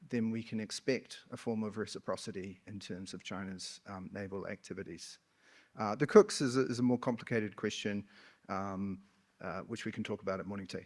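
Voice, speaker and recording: A man with a slightly low voice. He answers as a panel expert, off the cuff, stopping and restarting as he chooses his wording. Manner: halting, hedging, frequent false starts.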